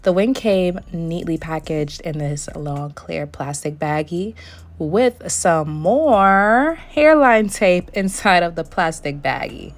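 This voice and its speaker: Low-voiced woman, slightly hoarse vlogger. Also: slow. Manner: step-by-step explaining, relaxed. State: hoarse, recovering from losing her voice. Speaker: beauty vlogger reviewing a wig. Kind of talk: product review voice-over.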